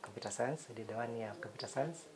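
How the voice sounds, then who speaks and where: A Kenyan man in his fifties, a cardiologist telling his life story in a relaxed, reflective interview. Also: medium pitch, quick pace, crowd noise. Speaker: cardiologist guest. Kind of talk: conversational interview.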